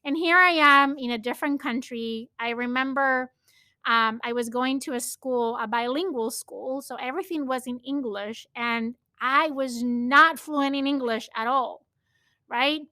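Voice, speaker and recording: A woman from Spain with a Spanish accent talks through her own podcast in an earnest, storytelling way, leaning on "like" as she goes. Her voice sits high and bright, and she takes her time. The sound is close and dry. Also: a little slow, no room sound. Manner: earnest, storytelling with frequent "like" fillers. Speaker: podcast host. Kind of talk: reflective solo podcast talk.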